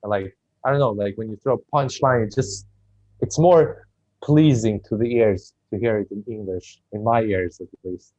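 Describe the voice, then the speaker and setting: A man, a comedian, speaking hesitantly on a video call and filling his halting phrases with "like.". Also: on the low side, warm tone, dry recording. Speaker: comedian guest. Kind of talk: casual chat on video call. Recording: dry, dull lo-fi call audio.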